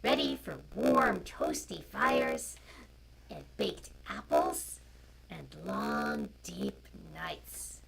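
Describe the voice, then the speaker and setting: Female storyteller, very deep and wide-swinging in pitch, for informal picture-book reading over noisy streamed sound. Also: sing-song, drawn-out words, gravelly, a bit bright. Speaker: storyteller, co-host.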